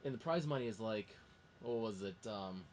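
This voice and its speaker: A man's voice: on the low side, lively intonation, normal speed, a little mellow. A man hosting a casual film discussion in a rambling, enthusiastic way. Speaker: podcast host. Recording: faint background noise.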